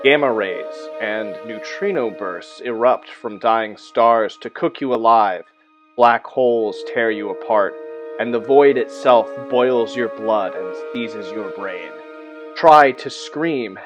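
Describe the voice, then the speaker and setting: A man's voice: mid-range voice, muffled recording. Playfully theatrical man with background music. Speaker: host of a tabletop gaming channel. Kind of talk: casual presentation to viewers, with some read-out game text.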